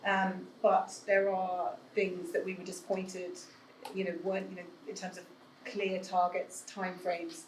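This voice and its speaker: A woman speaking on a panel at an event, earnest and measured, with many fillers, into a handheld mic. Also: on the low side, completely flat delivery, slight background noise. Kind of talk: panel discussion. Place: event room with an audience. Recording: echoey room with faint ambience.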